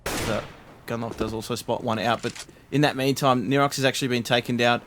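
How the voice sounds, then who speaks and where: A man casting an esports match live, steady play-by-play with frequent fillers. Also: mid-range voice, brisk, a little hiss or hum. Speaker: esports caster.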